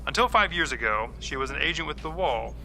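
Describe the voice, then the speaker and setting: A man's voice: a little high, animated, fast talker, pushed and bright, a little hiss or hum, heavily acted, rough recording. A man playing a stuffy, formal agent who briefs in dry, precise language in an audio drama, with music behind.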